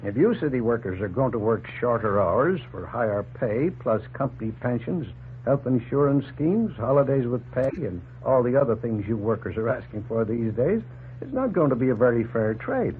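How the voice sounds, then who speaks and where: An actor playing a hospitable, plain-spoken farmer in a 1940s radio drama, earnest and explanatory. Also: male voice, mid-range voice, animated, normal speed, a little hiss or hum, natural voice. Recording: tinny, humming 1940s radio recording.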